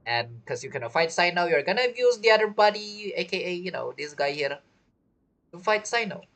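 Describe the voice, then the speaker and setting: A man's voice: sits high, expressive, a little fast, fairly bright tone. A young man giving casual, informal live commentary as a gaming streamer, reacting wryly.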